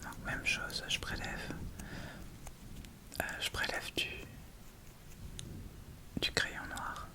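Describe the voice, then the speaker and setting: A woman hosts an ASMR makeup tutorial entirely in a whisper. She speaks very slowly and a little hesitantly, feeling her way from one step to the next.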